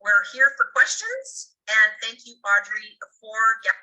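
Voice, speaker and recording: A woman's voice: medium pitch, very animated, a little slow, bright, forceful tone, poor audio. A woman presenting products in an online sales talk. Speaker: presenter selling garden systems.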